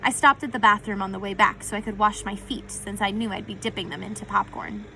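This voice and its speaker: A woman reading a children's novel aloud as expressive first-person narration. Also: mid-range voice, fairly quick, gravelly, soft background music, poor audio.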